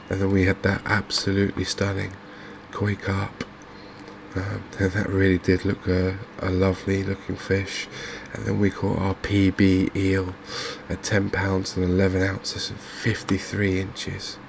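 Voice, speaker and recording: A man giving softly spoken, ASMR-style commentary as host of a gaming channel, in a halting, understated way. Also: sits low, completely flat delivery, creaky, noisy background.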